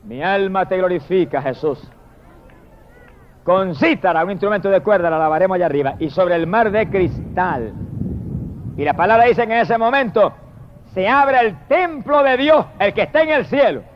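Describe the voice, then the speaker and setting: A male preacher with a high-pitched voice delivers a fervent evangelical sermon. He is emphatic and declamatory, stretching exalted phrases and speaking straight to his listeners. The recording is dull and lo-fi. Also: animated, normal speed, slight background noise.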